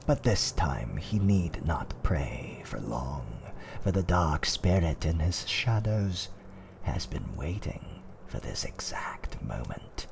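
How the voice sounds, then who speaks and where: A man playing a fantasy tavern owner, telling dark fireside tales in a hushed, dramatic roleplay ASMR style that often drops toward a whisper. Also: very deep voice, big pitch swings, takes it slowly, gravelly, background noise, exaggerated voice. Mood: ominous, hushed. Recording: faint background ambience.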